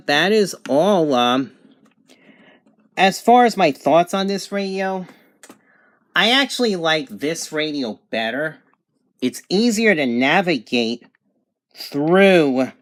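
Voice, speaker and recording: A person giving a casual product review and demonstration in a halting, hesitant way. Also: medium pitch, a bit bright, dry recording, studio quality. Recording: clear background noise, amateur home recording.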